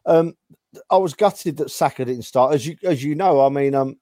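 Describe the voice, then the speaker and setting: A man's voice: on the high side, normal speed, warm tone, dry recording, studio quality. A man on a fan panel, talking hesitantly and stumbling over words. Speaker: panel guest. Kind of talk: casual fan chat.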